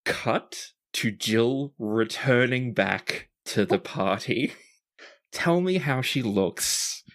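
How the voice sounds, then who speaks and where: Guy game mastering, making up the story as he goes, mid-pitched voice. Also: drawn-out words, fairly bright tone. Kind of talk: improvised tabletop game narration.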